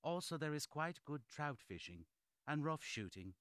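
An actor playing a 1940s gentleman landowner reading his letter to a cousin before going to war, formal and measured, in a radio drama. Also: a little high, very animated, clear, resonant tone, dry recording, studio quality. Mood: composed, matter-of-fact.

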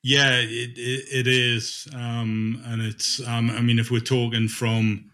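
A man's voice: mid-range voice, flat delivery, slow pace, bright, forceful tone. A middle-aged man speaking as a manager in an informal interview, thinking aloud and repeating words as he goes. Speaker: dispatch manager being interviewed.

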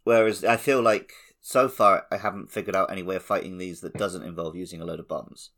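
A man's voice: deep voice, steady tone, a little fast, fairly bright tone. A man co-hosting a podcast, talking casually about a video game. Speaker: podcast host.

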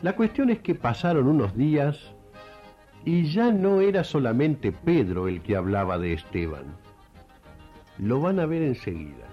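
A man's voice: medium pitch, big pitch swings, a little slow, highs cut off, faint music behind. An Argentine man hosting and narrating a radio programme in a conversational storytelling style.

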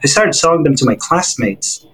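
A man in his forties from the Philippines, a guest telling his life story in an interview, with relaxed fillers and restarts. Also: a little high, normal speed, husky, low-quality recording.

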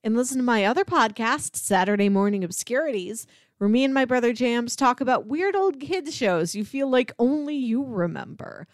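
A woman's voice: a little high, expressive, normal speed, dry recording, clean studio sound. A woman co-hosting a film and television podcast, talking in a casual, conversational style. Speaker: podcast co-host.

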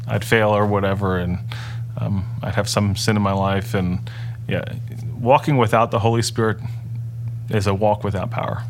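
A middle-aged man whose first language is Dutch shares his story in a conversational interview. His voice sits a little low. He talks earnestly, often pausing and restarting mid-sentence. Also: a little hiss or hum. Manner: earnest, halting, many restarts and fillers. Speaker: interview guest. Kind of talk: personal testimony in conversation.

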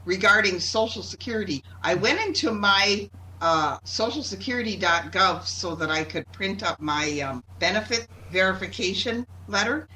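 Bright low-voiced woman, distant and noisy. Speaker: club participant. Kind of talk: casual chat. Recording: distant mic, faint background noise.

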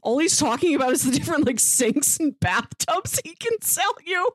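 A woman's voice: high-pitched, big pitch swings, moderate pace, no echo. A woman talking casually and thoughtfully as a podcast co-host, reviewing a story in conversation.

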